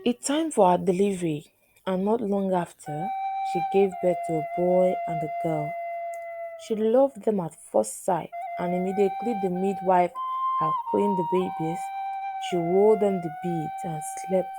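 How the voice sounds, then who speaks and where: Low-pitched woman narrating a folktale over flute music. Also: measured pace. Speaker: storyteller.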